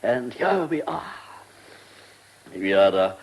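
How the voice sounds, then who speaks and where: A man's voice: mid-range voice, sing-song, takes it slowly, breathy edge, lo-fi sound, exaggerated voice. A man playing a pompous, pedantic British government minister in a radio comedy drama, fussy and self-important. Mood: self-satisfied. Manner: pompous, pedantic, hesitant asides.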